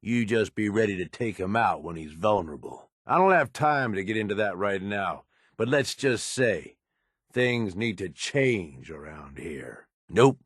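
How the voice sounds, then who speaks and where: Gruff low-voiced American raider schemer. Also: male voice, animated, a little slow, dry recording, studio quality. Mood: wry, pushy. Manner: blunt, streetwise, pushy. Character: gruff, cunning male raider schemer, blunt and impatient. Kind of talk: video game character dialogue.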